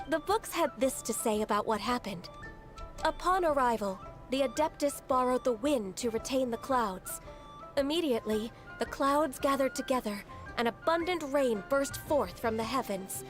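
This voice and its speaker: A woman voicing a gentle, earnest, dutiful young woman in a video game, speaking politely and with care. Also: high voice, animated, faint music behind. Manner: polite, measured, careful.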